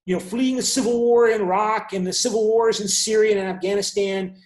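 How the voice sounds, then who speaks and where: A man's voice: sits high, fairly even intonation, a little fast, reverberant. A man, a university lecturer, explaining at length in an online class and often checking in with his students. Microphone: video call.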